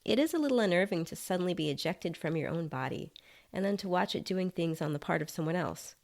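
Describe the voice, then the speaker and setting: A woman's voice: fairly low pitch, lively intonation, fast talker, creaky, a little mellow. A woman voicing a disembodied writer in a short story, uneasy and curious, with a hesitant, polite, questioning manner. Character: uneasy, curious disembodied writer floating outside own body.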